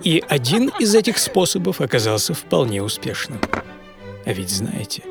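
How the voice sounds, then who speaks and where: An actor playing a proud, philosophical prisoner of the eighteenth century in a first-person audio drama monologue, theatrical and intense. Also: male voice, mid-range voice, sing-song, normal speed, gravelly. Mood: reflective. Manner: theatrical, intense, swinging from hushed whispers to agitated cries. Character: imprisoned 18th-century adventurer, proud, brooding, philosophical man. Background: music under the voice.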